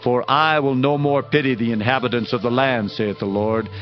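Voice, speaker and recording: A man's voice: mid-range voice, steady tone, moderate pace. A man giving a dramatized Bible reading in a solemn, declamatory manner. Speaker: Bible narrator. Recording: dull lo-fi audio with loud music. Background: background music.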